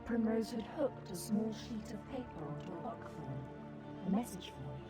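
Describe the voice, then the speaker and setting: An actress playing a secretive, tender guardian who narrates a tragic tale in a measured, solemn way. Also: female voice, medium pitch, animated, loud music behind the voice, natural voice. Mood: solemn, wistful. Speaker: story narrator. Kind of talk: scripted dramatic narration.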